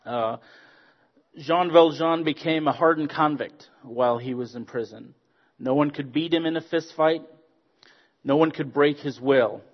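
A man's voice: a little high, unhurried, dull audio. Man preaching in a friendly way. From Zambia. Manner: friendly, joking, hesitant with fillers. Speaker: guest preacher. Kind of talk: sermon with storytelling.

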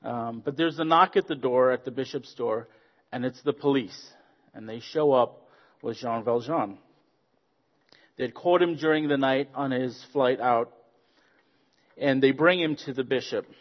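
A man from Zambia, a guest preacher giving a friendly sermon with stories, joking and pausing on fillers. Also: medium pitch, highs cut off. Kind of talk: sermon with storytelling.

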